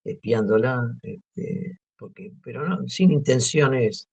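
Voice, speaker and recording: Gravelly-voiced Argentine man, hesitant. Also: medium pitch, expressive, slow, darkish tone. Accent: Argentine Spanish. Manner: hesitant, many fillers and restarts. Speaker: guest artist. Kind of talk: relaxed interview talk. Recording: muffled lo-fi audio.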